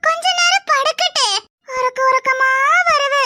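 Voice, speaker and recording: Whiny sleepy little cartoon girl, very squeaky, drawly, southern tamil nadu accent, lots of noise. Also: unhurried. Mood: sleepy, whining. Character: sleepy, whining schoolgirl pleading to stay in bed. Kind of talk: cartoon character dialogue. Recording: very loud background noise.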